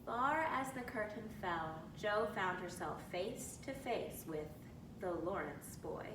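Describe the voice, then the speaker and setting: A woman giving an expressive dramatic reading of a classic novel as narrator. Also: takes it slowly. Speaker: reader. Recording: roomy, distant mic with rumbling noise.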